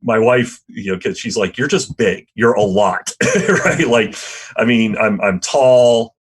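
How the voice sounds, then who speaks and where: A man who teaches history, speaking reflectively as a podcast guest with a loud voice and frequent "you know" and "right?". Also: lowish voice, slow, breathy edge. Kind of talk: reflective conversational interview. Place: podcast interview, remote or studio.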